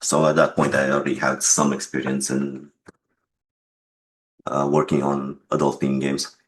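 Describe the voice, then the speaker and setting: A man answering interview questions as a game developer and writer, explaining things in a measured way. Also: very deep voice, monotone, a little hiss or hum.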